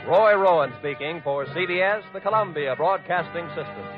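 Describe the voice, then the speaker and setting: A man announcing on a vintage radio broadcast, crisp and promotional in his delivery. His voice sits slightly high, over clear music, and the sound is dull and lo-fi like an archival recording. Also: normal speed. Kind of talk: old-time radio announcing.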